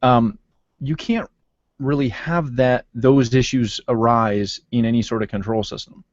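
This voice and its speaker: A man's voice: mid-range voice, steady tone, unhurried, no echo. A man in his thirties hosting a casual video-call panel chat from his home office, with loose talk full of fillers and restarts. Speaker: podcast host. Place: home office with shelves and wall clock. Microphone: desk mic on video call. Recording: dry, muffled video-call audio.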